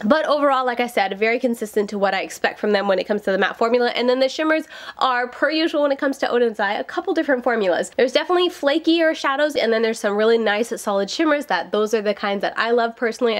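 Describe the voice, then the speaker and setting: A woman, chatting bubbly and direct to viewers in a casual makeup review vlog, slightly nasal from a cold. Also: a little high. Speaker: beauty vlogger.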